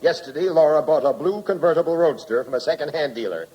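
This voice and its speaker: An actor playing a sarcastic, hard-nosed American police captain with a gruff, emphatic manner, in 1940s radio drama style. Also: male voice, fairly high pitch, animated, quick pace, warm tone, close to an everyday voice, rough recording. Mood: businesslike.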